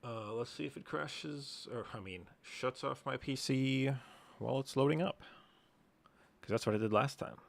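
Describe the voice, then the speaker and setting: A man's voice: medium pitch, normal speed, creaky. A man talking casually and crudely as he livestreams a video game. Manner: offhand, crude.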